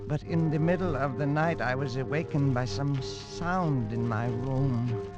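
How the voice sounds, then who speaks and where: An actor playing a high-strung, haunted English adventurer, narrating and acting dramatically with brooding pauses in old-time radio style. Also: male voice, dull audio, over loud music, natural voice. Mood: haunted, uneasy.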